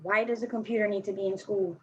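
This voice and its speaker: A woman's voice: medium pitch, fairly even intonation, fast, darkish tone, husky, close to an everyday voice. A woman playing a blunt, slangy, defensive fourteen-year-old girl skateboarder in a staged play reading. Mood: skeptical, mocking. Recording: dull lo-fi recording.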